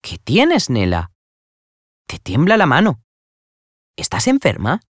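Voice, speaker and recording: A man's voice: a little high, huge pitch swings, takes it slowly, dry recording, clean studio sound, pitched above the performer's own voice, full character voice. A man doing a tender, pleading young lady. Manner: affectionate and pleading. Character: gentle, loving young lady cousin.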